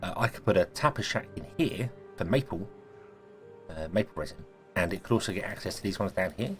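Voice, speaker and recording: A man, casually thinking aloud as he plays a building game, his voice a little croaky from a cold. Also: deep voice, expressive, fairly quick, fairly bright tone, faint music behind. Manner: thinking aloud, rambling, self-correcting. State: croaky, sneezy voice. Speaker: gaming video host. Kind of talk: casual let's-play commentary.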